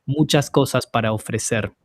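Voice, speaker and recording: A man's voice: medium pitch, normal speed, no room sound. Argentine male co-host, mid-range voice, easygoing manner, dry recording. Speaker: podcast co-host, Spanish teacher. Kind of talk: casual chat.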